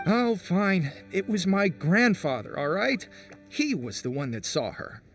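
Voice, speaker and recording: An actor playing a fussy, excitable small forest creature who stammers eagerly and defends himself, in a game cutscene. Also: male voice, high voice, measured pace, full character voice. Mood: earnest. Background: music.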